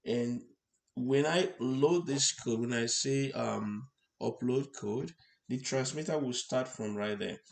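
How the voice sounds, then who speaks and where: A man presenting an unscripted hands-on electronics demonstration, explaining step by step in halting short phrases. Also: mid-range voice. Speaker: tutorial presenter. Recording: clear background noise.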